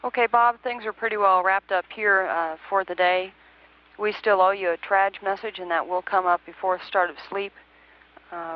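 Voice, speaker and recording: A woman working as a mission control communicator, talking procedurally but conversationally to a crew over the radio. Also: fairly low pitch. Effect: radio transmission. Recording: dull band-limited space-to-ground radio link.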